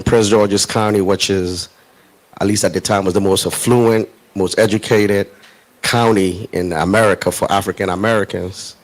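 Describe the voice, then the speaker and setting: A middle-aged man from Ghana speaking as a guest on a panel in front of a live audience, in a measured and reflective way. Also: on the low side, poor audio. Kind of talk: panel discussion.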